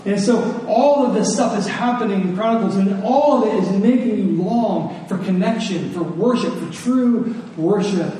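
Echoey, high-pitched male preacher. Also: normal speed, few pauses, dark tone. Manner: earnest, conversational, repeats phrases for emphasis. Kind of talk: sermon. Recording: echoey room, distant mic, faint noise.

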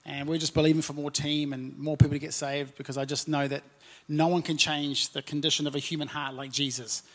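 A man's voice: on the high side, flat delivery, a little fast. A man in his forties with a New Zealand accent, preaching as a pastor in a conversational way, full of "and, uh" fillers. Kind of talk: sermon. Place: church auditorium.

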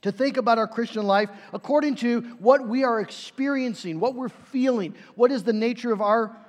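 A man's voice: extremely high pitch, moderate pace. A man preaching a sermon in a conversational way, with frequent fillers and restarts. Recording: reverberant hall.

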